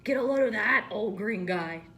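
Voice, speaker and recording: Lady doing a snarky young snake from a storybook, small and sneering, bright, noisy recording. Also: mid-range voice, lively intonation, moderate pace. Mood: mocking. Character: mocking, snickering small young yellow snake.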